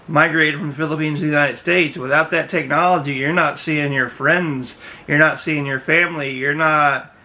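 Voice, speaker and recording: A man's voice: on the high side, bright, forceful tone. A man in his thirties, host of a reaction video, giving earnest and emphatic casual commentary in a small room. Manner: earnest, emphatic, repeats himself.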